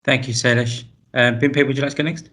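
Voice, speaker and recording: A man's voice: medium pitch, fairly even intonation, fairly quick, fairly bright tone. A man chairing a professional roundtable, inviting each panelist to introduce themselves.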